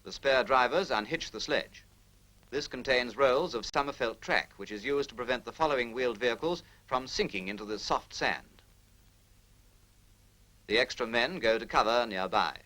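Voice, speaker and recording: A man's voice: medium pitch, moderate pace, pushed and bright. A British man reading formal scripted narration in the manner of a 1940s military training film, precise, instructional and impersonal.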